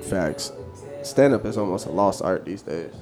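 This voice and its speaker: A man's voice: low-pitched, normal speed. A man co-hosting a podcast, bantering in slangy casual chat. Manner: lively, laughing, slangy banter. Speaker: podcast co-host. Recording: music playing behind.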